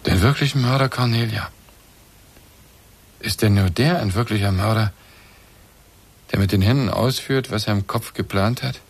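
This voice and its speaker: An actor playing a bitter, reproachful middle-aged husband in a German radio play, agitated and accusing. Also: male voice, fairly low pitch, normal speed, pauses often, slightly rough. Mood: bitter, defiant.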